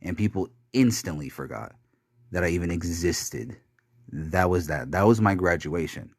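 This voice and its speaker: A man's voice: low voice, animated, vocal fry, husky, no echo, clean studio sound. A young man recording a confessional podcast monologue, bitter and rambling, swearing often and restarting his sentences. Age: young adult. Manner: halting, with many restarts and fillers.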